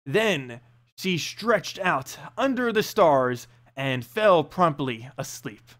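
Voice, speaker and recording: Guy with a pretty high voice reading fantasy lore, really swingy and dramatic, storybook style. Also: animated, slow pace, fairly bright tone. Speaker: narrator and channel host. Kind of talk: expressive storybook read-aloud of fantasy lore.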